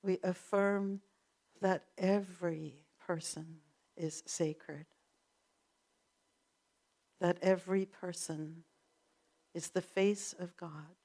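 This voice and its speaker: A woman leads a prayer at a church service with calm, unhurried affirmations. Her voice is lowish and she speaks slowly. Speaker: prayer leader. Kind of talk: spoken prayer.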